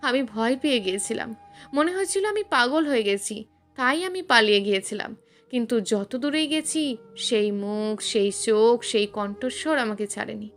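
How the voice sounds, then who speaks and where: A woman's voice: a little high, lively intonation, normal speed, faint music behind. A woman narrator voicing a guilt-ridden, frightened middle-aged father in a story, haunted and pleading. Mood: guilty, fearful.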